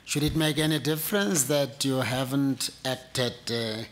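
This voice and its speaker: A man's voice: mid-range voice, a little slow. A man chairing an interview panel, asking brief, matter-of-fact questions in a large hearing room.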